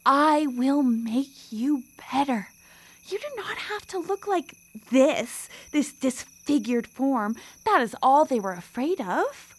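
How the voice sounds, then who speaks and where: An obsessive scientist in a radio drama. Her voice sits high and swings widely as she pleads theatrically with the thing she made. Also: big pitch swings, slow, heavily acted. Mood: soothing, scheming.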